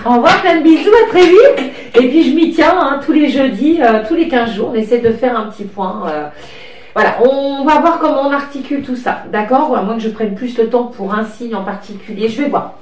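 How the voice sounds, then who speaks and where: A woman's voice: sits high, expressive, fairly quick, a little mellow. A woman reading tarot cards in a rambling, hesitant way with many fillers, stuffy from a cold and coughing now and then, talking fondly to her cat and child. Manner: affectionate, talks to her pet and child. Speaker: tarot card reader. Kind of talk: casual card-reading chat. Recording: echoey room, distant mic, light noise.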